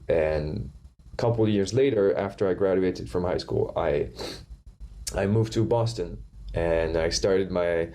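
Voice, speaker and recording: A man's voice: on the low side, warm tone. A young man from southeastern France with a slight French accent, answering interview questions thoughtfully with frequent restarts and fillers. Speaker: guest drummer.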